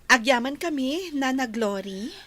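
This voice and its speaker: A woman's voice: sits high, animated, measured pace, sharp tone, full character voice. A woman with an Ilocano accent playing an appreciative employer of a household helper in a television drama. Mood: pleasant, appreciative.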